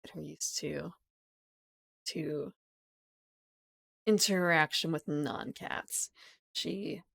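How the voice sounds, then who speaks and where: Croaky, low-voiced woman streamer. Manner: rambling, giggly, self-correcting. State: croaky from just waking up. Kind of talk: casual live-stream chat.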